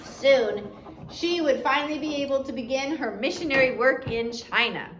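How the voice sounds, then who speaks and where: A woman with a fairly high voice narrates a story from a book. Her reading is expressive and deliberate. The room adds a clear echo, and there is faint noise in the recording. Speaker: audiobook narrator, reader. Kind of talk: scripted storytelling read aloud from a biography.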